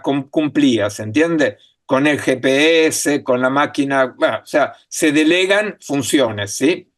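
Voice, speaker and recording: A man with a fairly high voice gives an academic lecture in a language that is not his own. He thinks aloud as he goes, full of pauses, fillers and little checks on whether the audience follows. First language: not Spanish. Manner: thinking aloud, many fillers and tag questions. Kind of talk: academic talk.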